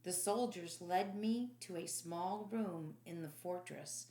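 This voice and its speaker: A woman reading dramatic first-person audiobook narration in a solemn, deliberate, emphatic way. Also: lowish voice, mellow. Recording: echoey room.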